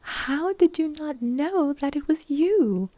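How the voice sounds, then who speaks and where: Bubbly coaxing young woman, lively and bouncy pitch, read by a female storyteller. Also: slow pace, no echo. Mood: playful. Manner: teasing, excitable, coaxing.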